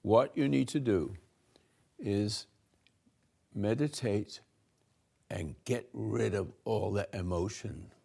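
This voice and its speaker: An older man slowly giving a rambling spiritual talk to a room, halting and restarting, swinging between soft and loud. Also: lowish voice, creaky, breathy edge. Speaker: spiritual teacher hosting a talk.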